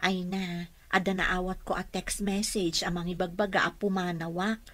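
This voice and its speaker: A woman's voice: on the low side, flat delivery, normal speed, a bit bright, no room sound. A woman with an Ilocano accent playing a friendly, chatty young woman in a radio comedy-drama. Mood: confiding.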